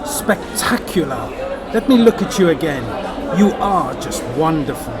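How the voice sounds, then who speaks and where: A man's voice: a little high, very animated, measured pace. A man playing an ardent, wistful lover in an acted short-story reading, effusive and lingering. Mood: adoring. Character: ardent, wistful male lover of a married actress. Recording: crowd noise in public room.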